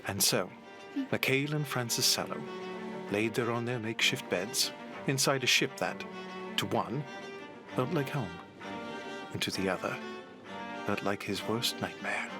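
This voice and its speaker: Deep-voiced male storyteller over music. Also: a little slow, pauses often. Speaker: narrator. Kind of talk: scripted storytelling narration.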